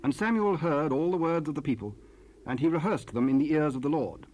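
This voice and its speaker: A man narrating scripture from a script in a formal, measured way. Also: a little high, darkish tone. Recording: hissy 1970s cassette rip.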